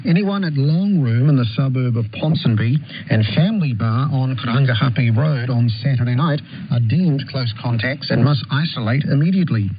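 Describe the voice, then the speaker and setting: A man's voice: mid-range voice, normal speed, few pauses. A man with a New Zealand accent reading a scripted radio news bulletin. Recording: noisy, dull shortwave radio reception.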